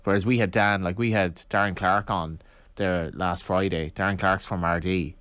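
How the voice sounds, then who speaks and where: A man's voice: sits low, fairly even intonation, normal speed, a bit bright, clear, resonant tone, no echo. A man from Galway with an Irish accent, co-hosting sports radio with chatty, playful interjections. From Galway, Ireland. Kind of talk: casual studio banter.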